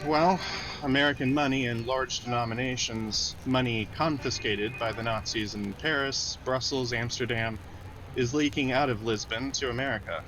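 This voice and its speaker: An actor playing a grim government intelligence officer, stern and deliberate, in old-time radio drama style. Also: male voice, medium pitch, fairly bright tone, a little hiss or hum, natural voice, low-quality recording. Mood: businesslike.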